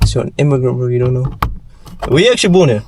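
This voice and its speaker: A man's voice: medium pitch, lively intonation, fast talker, background noise. A man driving his own car, chatting casually in short, laid-back replies. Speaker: car owner and driver. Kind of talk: casual chat. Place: in a car and outdoors.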